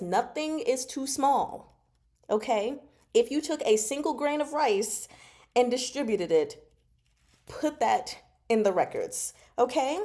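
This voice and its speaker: A woman giving a casual, chatty book recap as a host, expressive and emphatic, often checking in with the listener. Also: medium pitch. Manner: expressive, conversational, emphatic, often checks in with "okay?" and "you know". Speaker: host of a book discussion vlog.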